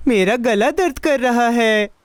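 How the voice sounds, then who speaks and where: A woman's voice: a little high, unhurried, soft background music, close to an everyday voice. A woman voicing an eager, impatient, food-loving little boy in a children's cartoon dub, with a childlike, plaintive, exclaiming delivery. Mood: worried.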